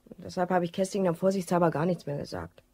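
An actress playing a cool, suspicious, quietly menacing woman in a German crime radio drama, pointed and dry. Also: lowish voice, steady tone, fast talker, breathy edge. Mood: sardonic, pointed. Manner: pointed, dry, matter-of-fact.

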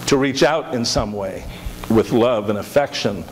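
A man's voice: mid-range voice, unhurried, music in the background. A middle-aged man, a pastor, speaking earnestly in prayer and announcements, often repeating words as he goes. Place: large church sanctuary. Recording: reverberant church hall with hiss.

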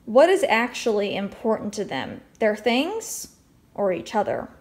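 A woman's voice: medium pitch, huge pitch swings, a little slow. A woman reading a storybook aloud expressively as a teacher, with explanatory asides and questions for children.

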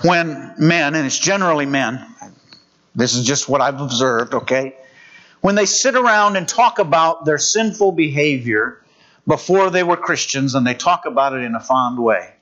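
A man preaching a sermon, reading scripture in an earnest, measured way. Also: on the high side, expressive, a bit bright, lo-fi sound.

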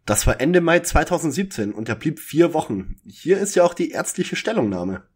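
A man's voice: on the high side, very animated, moderate pace, slightly rough. A man playing a fussy, bookish young detective in a parody detective audio drama, with a pedantic manner. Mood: matter-of-fact.

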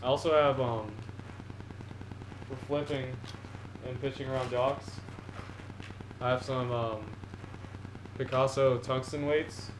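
A man's voice: mid-range voice, slow pace, pauses often. A teenage boy showing off fishing gear in a casual haul video, rambling with many ums and restarts, seated on a couch in front of a camera on the floor. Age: teens. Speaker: fishing vlogger. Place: small indoor room, seated on a couch. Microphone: camera on the floor in front of him. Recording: echoey room with a steady noise floor.

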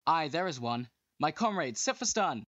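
A man's voice: a little high, big pitch swings, moderate pace, a bit bright, no echo. A man playing a dutiful soldier of an evil empire, reporting in clipped archaic verse in a Shakespearean dramatic reading. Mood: brisk, dutiful. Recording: dull, muffled lo-fi microphone.

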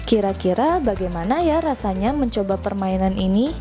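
A woman's voice: mid-range voice, lively intonation, normal speed. A woman giving an upbeat, chatty scripted voice-over for a children's entertainment show, speaking straight to young viewers. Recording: voice-over laid over clear background music.

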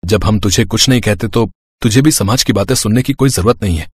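A man's voice: fairly low pitch, fairly quick, husky, dry recording. Man acting a soothing middle-aged father. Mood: consoling.

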